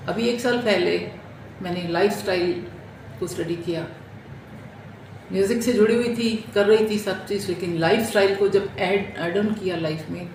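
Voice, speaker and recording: A middle-aged woman giving an earnest personal talk, explaining and often repeating her phrases. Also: fairly low pitch. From India. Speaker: music therapist and doctor. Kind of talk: personal testimonial talk in Hindi with English terms. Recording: echoey room with clear background noise.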